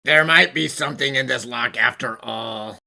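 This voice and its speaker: A dry, doubting dog companion from an anime fan dub, as a woman plays him. The voice sits low with a creaky rasp and a sharp, forward edge. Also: normal speed, soft background music, heavily acted.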